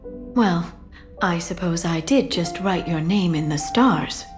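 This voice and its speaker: A woman playing a gentle, earnest alien scholar in a video game, speaking softly and with measured sincerity. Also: on the low side, over music. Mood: tender, reflective. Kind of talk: dramatic video game acting.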